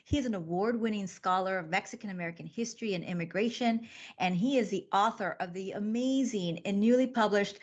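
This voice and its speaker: Effusive woman hosting an interview. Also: mid-range voice. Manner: effusive, lots of praise for guests. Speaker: guest host.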